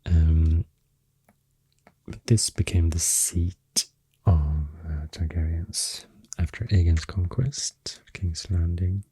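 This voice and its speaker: A man with a Swedish accent doing soft-spoken ASMR, half-whispering in a hesitant, unhurried ramble close to the microphone. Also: sits low, steady tone, slightly rough, no room sound. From Sweden. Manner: hesitant, unhurried, halting rambling in short phrases. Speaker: ASMR creator and host. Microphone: close, dry microphone.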